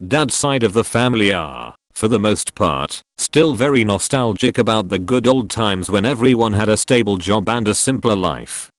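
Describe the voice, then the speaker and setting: A man narrating, reading forum posts aloud in a steady, even delivery. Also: lowish voice, moderate pace, few pauses, no echo, clean studio sound.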